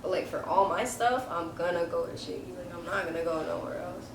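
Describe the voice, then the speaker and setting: A woman guest on an interview show, talking candidly about her music with relaxed slang and swearing. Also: fairly low pitch, fairly quick. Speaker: rapper guest. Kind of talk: casual interview chat. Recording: echoey room, mic at a distance, faint background noise.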